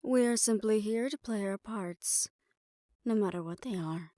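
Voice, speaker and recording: A woman's voice: mid-range voice, unhurried, mellow, no room sound, studio quality, lightly acted. A woman playing a poised, imperious hotel manager in an audio roleplay, speaking formally and with polite condescension. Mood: coolly philosophical. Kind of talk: scripted audio roleplay addressed to the listener.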